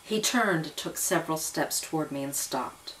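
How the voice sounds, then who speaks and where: A woman storyteller narrates in the first person. Her voice sits a little low, and she reads the tale as drama. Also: normal speed, a bit bright, a little hiss or hum, rough recording. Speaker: storyteller reading own serial story.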